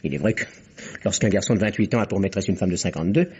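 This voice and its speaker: An actor playing a shrewd, ironic police inspector from in a vintage radio mystery. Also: male voice, low voice, expressive, a little mellow, slight background noise. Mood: ironic probing. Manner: ironic, measured, probing. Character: shrewd, sardonic male police inspector, needling suspects. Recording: dull, lo-fi old radio recording.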